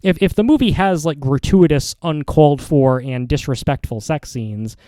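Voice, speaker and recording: Mellow, halting man talking off the cuff. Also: fairly high pitch, clean tone, no echo, studio quality. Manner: halting, rambling delivery with frequent repeats and self-corrections. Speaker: podcast host. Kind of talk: casual opinion commentary monologue.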